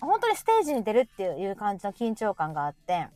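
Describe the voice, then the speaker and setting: A woman with a Kansai accent co-hosting a live audio show, chatting casually and telling stories in a chatty, animated way. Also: medium pitch, quick pace, no echo, soft background music. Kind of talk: casual chat.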